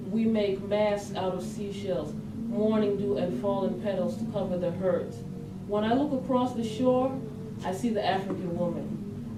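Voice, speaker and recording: A woman's voice: mid-range voice, lightly acted. A woman playing a dreamy Southern grandmother, telling a poetic monologue in folk vernacular at a staged reading. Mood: dreamy, haunted. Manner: slow incantatory delivery in folk vernacular. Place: live staged reading in a room. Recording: distant mic, echoey room, clear background noise.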